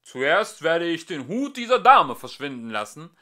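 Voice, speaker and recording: A man's voice: fairly high pitch, very animated, measured pace, bright, forceful tone, voice pushed up, exaggerated voice. A man voicing a foolish, short-tempered king in a storybook, commanding and pompous in manner. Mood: grandly commanding.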